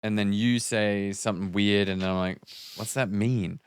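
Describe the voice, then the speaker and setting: A man's voice: fairly low pitch, fairly even intonation, moderate pace, clean tone, no room sound. A man casually breaking down a script, acting out example lines as he explains. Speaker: podcast guest reading and breaking down scripts.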